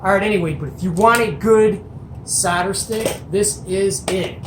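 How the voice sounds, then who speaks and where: An enthusiastic show-and-tell host talks casually in a medium-pitched voice. The delivery is folksy and colloquial, like chatting to viewers over a workbench. The room is echoey, with faint noise behind. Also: normal speed.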